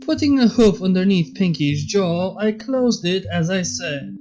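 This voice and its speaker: A man's voice: high-pitched, animated, unhurried, a little mellow, muffled recording. A man reading fan fiction aloud as scripted story narration.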